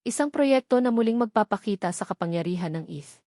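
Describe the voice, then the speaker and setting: A woman's voice: medium pitch, animated, fairly quick, mellow, no room sound, clean studio sound. A woman with a Filipino accent reading a scripted love drama as a storyteller. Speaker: narrator.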